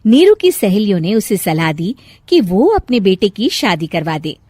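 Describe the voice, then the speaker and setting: A woman's voice: medium pitch, very animated, moderate pace, warm tone, slightly rough, dry recording. A woman storyteller reading scripted narration for a moral bedtime story.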